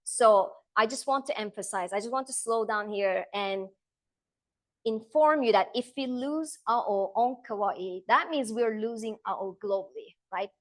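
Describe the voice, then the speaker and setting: A woman with a Turkish accent delivers a public talk as a conservation researcher. She explains with earnest care and often hesitates with "um" and "uh." She slows her pace to stress the points she wants to land. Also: fairly high pitch. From Turkey. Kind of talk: public lecture.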